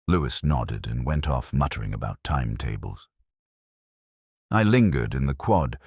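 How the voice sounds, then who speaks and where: A man narrating a scripted first-person detective story in a measured, solemn, literary manner. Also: a bass voice, slightly rough, no room sound, clean studio sound.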